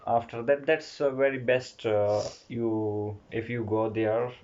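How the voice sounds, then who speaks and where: Halting man in English. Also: mid-range voice, fairly even intonation, a little mellow, lo-fi sound. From Pakistan. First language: Pashto. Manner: halting, repetitive non-native English with many fillers. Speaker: vlogger's husband. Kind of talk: casual couple Q&A chat.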